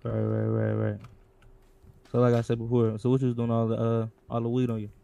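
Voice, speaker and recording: A man's voice: mid-range voice, monotone, a little fast, creaky, mellow, muffled recording, a little hiss or hum. A man improvising game roleplay as a police interrogator, talking in casual slang in a laid-back, repetitive way. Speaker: role-player acting as police interrogator.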